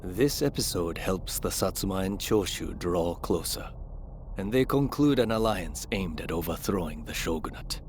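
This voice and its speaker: A man's voice: deep voice, lively intonation, normal speed, noisy background. A man narrating a samurai history game as a grave, scripted chronicler. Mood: grave, measured. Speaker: story narrator.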